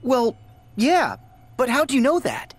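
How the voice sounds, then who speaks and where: A man playing an eager, expressive teenage boy hero in a video game, with a husky voice. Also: very high-pitched, a little slow, no echo, faint music behind, exaggerated voice. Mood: puzzled.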